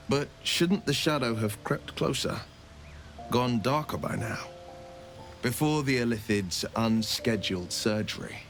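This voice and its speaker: An actor voicing a confident, wry male fighter companion in a video game, measured and reassuring. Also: mid-range voice, fairly bright tone. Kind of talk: video game cutscene dialogue.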